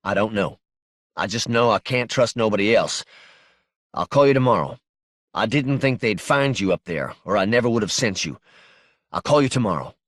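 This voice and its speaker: A man's voice: fairly low pitch, expressive, moderate pace, dry recording, clean studio sound. A man voicing a stubborn, laconic working-class fugitive in an audiobook, terse and guarded. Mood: guarded, insistent.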